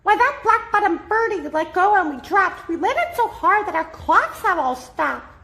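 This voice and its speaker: A tiny storybook town mayor, as a woman reads him aloud speaks in a squeaky, high, excited voice. He is earnest and eager, and his voice swoops and climbs. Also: normal speed, exaggerated voice. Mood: pleading.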